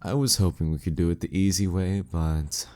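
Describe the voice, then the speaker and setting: A man's voice: sits low, steady tone, moderate pace, a little mellow, slight background noise, natural voice. A man playing a smug, dominant, seductive demon king in a flirty audio roleplay, teasing and condescending with courtly menace. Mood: coolly disappointed. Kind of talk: flirty audio roleplay monologue addressed to the listener.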